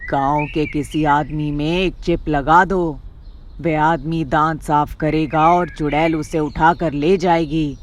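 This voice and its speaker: Woman doing a very low, measured village holy man. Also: fairly even intonation, a little hiss or hum. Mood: advising. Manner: instructive, measured. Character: calm village holy man giving counsel.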